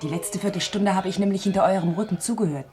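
An actress in a radio play voicing a fearless, sharp-tongued young woman with a pert, mocking manner. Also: mid-range voice, fast talker, natural voice. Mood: knowing, brisk. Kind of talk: German radio play acting. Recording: crowd noise behind.

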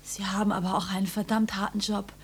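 A woman's voice: mid-range voice, little pitch movement, fast, lightly acted. An actress playing a young, precocious daughter in a radio drama, pert and drily mocking, on a recording with a noisy hum behind her. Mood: pert, admiring. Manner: pert, sardonic. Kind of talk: German radio drama acting.

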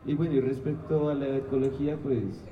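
A man's voice: medium pitch, level tone, a little fast, muffled recording, noisy background. A man explaining experimental music informally to an audience, hesitant and rambling, with a joking streak. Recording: roomy, distant mic, music present.

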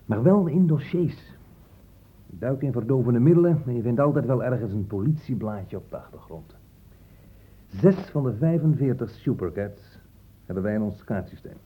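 A man's voice: mid-range voice, expressive, moderate pace, slightly rough. A man playing a hard-nosed, sceptical police inspector in a Dutch radio drama. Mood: sceptical, firm. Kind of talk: Dutch radio play acting. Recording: muffled, phone-like old radio recording.

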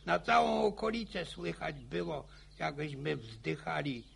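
An actor playing an old village grandfather in a radio play, spinning boastful war yarns with a gravelly, stammering, chuckling voice. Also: on the high side, lively intonation, measured pace, fairly bright tone, slight background noise, full character voice. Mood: boastful reminiscing. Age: older. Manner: chuckling folksy yarn-spinning. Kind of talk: radio drama acting.

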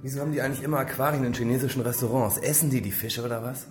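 A man's voice: medium pitch, steady tone, racing, lightly acted. Male actor as casual, sardonic sidekick in crime drama, medium register, music underneath. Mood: wary. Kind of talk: radio crime drama acting.

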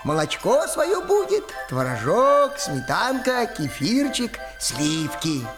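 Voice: mid-range voice, huge pitch swings, takes it slowly, music in the background. An actor playing a grumbling, boastful but kindly old male forest goblin in a children's musical audio fairy tale, with folksy muttering and exclamations. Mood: chatty, self-satisfied. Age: older.

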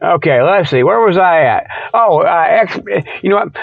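A man hosting a radio show, delivering a rambling, irreverent comic monologue with mock sales pitches in a folksy, tangent-prone way. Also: lively intonation, normal speed, creaky, no room sound. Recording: dull lo-fi radio sound.

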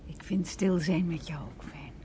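An actress playing a gentle, attentive, inquisitive companion in a radio play, speaking softly and naturally with a questioning manner. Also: female voice, low-pitched, measured pace, a little hiss or hum. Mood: concerned, curious.

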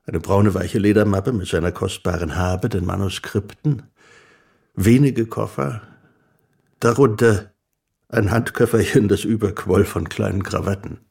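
An actor playing an admiring publisher who reflects in measured words on his author in a radio play. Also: on the low side, vocal fry, darkish tone, slightly rough, full character voice.

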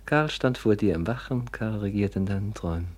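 A man's voice: fairly low pitch, brisk, natural voice. An actor playing a scheming, honey-tongued younger nobleman in a theatrical, insinuating manner in a classic German radio drama. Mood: mock pity. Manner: theatrical, insinuating, swinging from hushed to exclaiming.

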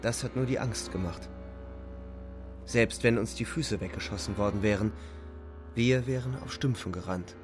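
An actor playing a wounded young soldier who narrates a radio drama in a terse, urgent, defiant way. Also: male voice, fairly low pitch, moderate pace, long pauses, faint music behind, natural voice. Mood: tense, grim. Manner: terse, urgent present-tense telling.

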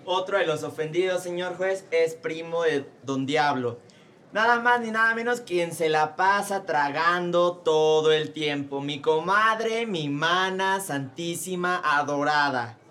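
A man's voice: a little high, a little slow, pushed and bright. A man playing a flamboyant court secretary announcing witnesses in a comic radio sketch, with a Mexican Spanish accent. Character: campy, theatrical male court secretary.

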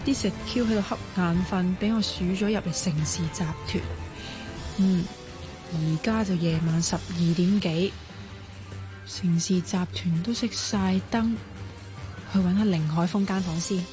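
A woman's voice: fairly low pitch, unhurried, dark tone, soft background music, lightly acted. Feisty Hong Kong Cantonese amateur sleuth played by a woman for radio drama, blunt, suspicious and exclamatory. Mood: sneaky, excited.

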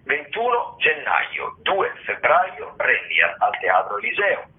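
A man hosting a radio show, presenting theatre listings and reviews in a halting way, with drawn-out words and self-corrections. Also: fairly high pitch, wildly swooping pitch. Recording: thin, phone-like narrowband sound.

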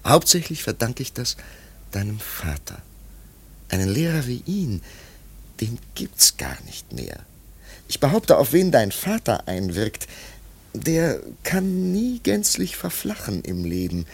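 Slow, gravelly, earnest art pupil. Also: male voice, medium pitch, huge pitch swings, exaggerated voice. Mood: nostalgic, earnest. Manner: halting, musing, fervent. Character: earnest, reflective, admiring former art pupil. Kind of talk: radio drama acting.

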